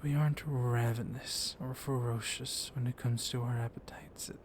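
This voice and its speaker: A man playing a flirtatious, gently dominant vampire librarian in an intimate ASMR roleplay, speaking softly and often in a whisper, teasing and seductive. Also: mid-range voice, steady tone, normal speed, a little hiss or hum, close to an everyday voice. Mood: reassuring.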